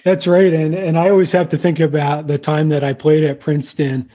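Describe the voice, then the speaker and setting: A man's voice: on the high side, level tone, brisk, mellow. A man co-hosting a podcast, reading an enthusiastic intro and chatting casually with guests, from Canada. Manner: enthusiastic, repetitive emphasis. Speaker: podcast co-host.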